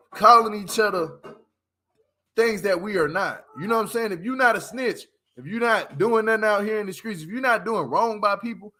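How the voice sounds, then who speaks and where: A man's voice: high-pitched, pushed and bright. A man giving casual, opinionated livestream commentary as host, talking in an emphatic, repetitive, slangy way.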